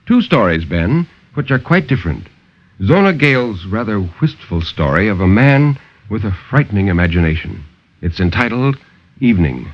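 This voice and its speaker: A man's voice: fairly low pitch, big pitch swings, moderate pace. A man narrating as a storyteller in dramatic 1950s radio horror style. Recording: muffled 1950s radio broadcast.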